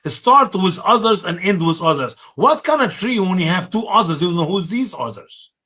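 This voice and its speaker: A middle-aged man from Egypt with an Egyptian Arabic accent, co-hosting a casual panel discussion in an excitable, emphatic way. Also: moderate pace, husky. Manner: excitable, emphatic, swings high on key words. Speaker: co-host.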